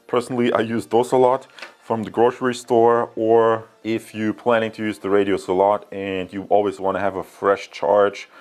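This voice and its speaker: A man's voice: lowish voice, level tone, moderate pace, a little mellow. A man talking casually and unscripted to viewers as a product reviewer, explaining things in a rambling, conversational way. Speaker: product reviewer, channel host.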